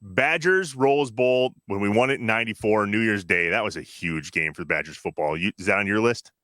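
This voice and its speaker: A man's voice: fairly low pitch, a little fast, pushed and bright, no echo, studio quality. A man in his thirties co-hosting a podcast with playful, upbeat, casual sports banter. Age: 30s. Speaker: podcast co-host.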